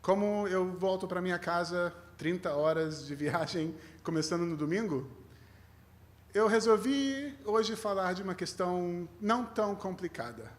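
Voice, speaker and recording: Guest rabbi, male, Brazilian accent with a mixed tinge, lightly raised pitch, conversational sermon with self-deprecating humour. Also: lively intonation, measured pace. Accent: Brazilian with a mixed foreign tinge. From Rio de Janeiro, Brazil.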